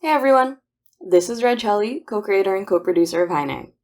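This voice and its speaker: A woman's voice: mid-range voice, expressive, fairly quick, darkish tone. A woman, co-producer of the podcast, reading the credits and support links. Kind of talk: read credits announcement.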